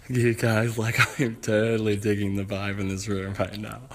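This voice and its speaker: A man's voice: on the low side, completely flat delivery, moderate pace, a bit bright. A man voicing a laid-back stoner hippie with a dazed, slangy surfer-dude drawl. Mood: mellow. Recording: noisy homemade phone clips.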